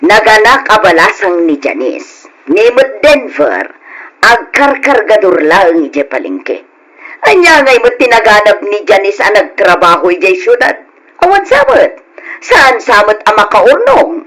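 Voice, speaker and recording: A woman's voice: mid-range voice, big pitch swings, a little slow, a bit bright, poor audio. An actress playing a boastful, mocking middle-aged village woman in an old Ilocano radio drama, with an Ilocano accent and a gossipy, taunting delivery. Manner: taunting, gossipy, exaggerated sing-song swings.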